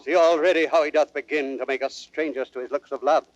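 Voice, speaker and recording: A man as measured, scheming nobleman, muffled. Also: fairly high pitch, steady tone, no echo, close to an everyday voice. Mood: grave. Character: scheming, measured nobleman plotting against the king. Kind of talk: old radio-style Shakespearean acting.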